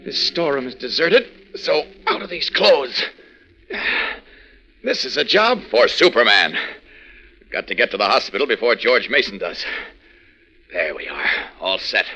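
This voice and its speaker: A man's voice: medium pitch, very animated, unhurried, long pauses, sharp tone, slightly rough, exaggerated voice. A man acting an urgent, brave reporter who is secretly a superhero, in 1940s radio serial style. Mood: resolute.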